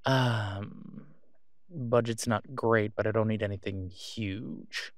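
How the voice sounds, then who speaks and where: An awkward, guarded man in an audio drama, played by a male actor, with a lowish voice. He talks slowly and haltingly in a low-key, natural way, recorded close and dry. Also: fairly even intonation, no echo. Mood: hesitant. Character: awkward, guarded man discreetly seeking gear to protect someone. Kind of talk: naturalistic audio roleplay acting.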